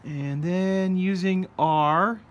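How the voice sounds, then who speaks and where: A man hosting a casual scale-model painting tutorial, explaining each step in a relaxed way. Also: sits high, drawn-out words, lo-fi sound. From United States. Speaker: hobby shop host. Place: hobby workbench indoors.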